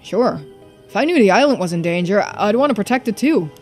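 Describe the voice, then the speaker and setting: A woman's voice: medium pitch, lively intonation, a little fast, soft background music, lightly acted. A woman voicing a frank, loyal young sidekick in a video game, with a casual, emphatic, questioning manner. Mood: curious, attentive.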